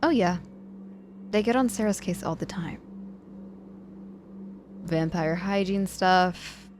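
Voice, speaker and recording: Woman acting a low-pitched protective witch mentor, noisy background. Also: darkish tone. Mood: wry, amused. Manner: dry teasing turning apologetic and protective, hesitant pauses. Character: cocky but softening witch mentor, injured in hospital. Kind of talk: ASMR audio roleplay acting.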